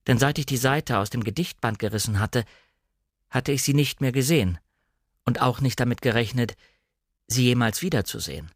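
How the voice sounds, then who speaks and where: Male narrator, standard German, medium pitch. Measured, precisely articulated literary storytelling, close and dry studio recording. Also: no room sound. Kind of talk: scripted literary narration, audiobook-like radio reading.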